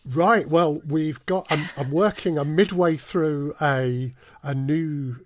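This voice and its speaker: A man's voice: a little high, slow pace. A man talking conversationally as an interview guest, explaining his work through anecdotes. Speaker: podcast guest, audio drama producer.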